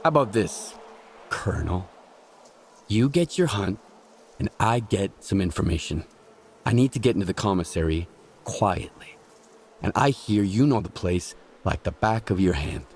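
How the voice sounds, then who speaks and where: Businesslike game mercenary: low, wry, measured, faint ambient noise. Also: male voice, animated, creaky, a little mellow. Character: cool, businesslike male mercenary bargaining for information. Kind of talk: video game dialogue acting.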